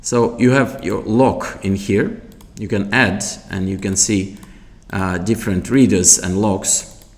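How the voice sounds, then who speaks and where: Male product demonstrator, fairly deep, slow and halting, explaining step by step without a script. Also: fairly even intonation. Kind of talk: unscripted product tutorial.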